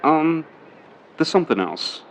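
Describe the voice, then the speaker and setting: An actor playing a lovestruck, dreamy young son in a 1950s period audio drama, earnest and gushing with eager stammers. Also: male voice, a little high, very animated, measured pace, a little hiss or hum. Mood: eager, coaxing. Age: young adult. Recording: slightly dull, lo-fi sound.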